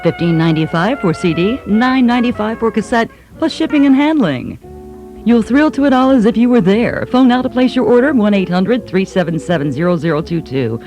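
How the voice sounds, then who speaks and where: A woman reads a scripted commercial with lively, sweeping intonation at a medium pitch. She lands each item with measured emphasis over faint music. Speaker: commercial announcer. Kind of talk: scripted mail-order music advertisement.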